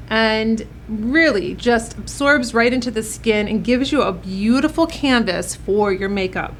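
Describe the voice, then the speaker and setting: A woman with a fairly high voice presents a beauty tutorial as a makeup artist. She addresses her viewers in an upbeat, encouraging manner. There is noticeable background noise in the recording. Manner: upbeat, encouraging pep-talk delivery.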